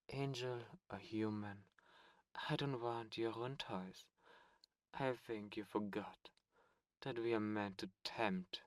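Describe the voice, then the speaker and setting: Casting: a man playing a suave, cold demon prince, very slow and deliberate, dry close sound. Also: lowish voice, no room sound, natural voice. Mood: scornful. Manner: silky, condescending, menacing. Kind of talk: ASMR roleplay audio drama.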